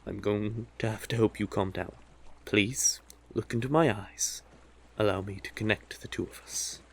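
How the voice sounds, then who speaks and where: A man's voice: on the low side, fairly even intonation, normal speed, gravelly, fairly bright tone. A man playing a seductive, manipulative male sea witch in a hypnotic audio roleplay, doting and teasing in a soothing, coaxing way.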